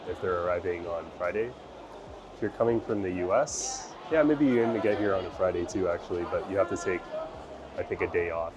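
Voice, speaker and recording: Low-voiced man narrating a travel vlog. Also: background noise. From United States. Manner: relaxed, chatty, with frequent fillers. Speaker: travel vlogger.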